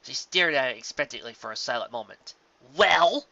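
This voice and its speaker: A narrator giving a measured, scripted dramatic story reading, occasionally stumbling over words. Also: medium pitch, pushed and bright, dry recording, lo-fi sound. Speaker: amateur audiobook narrator.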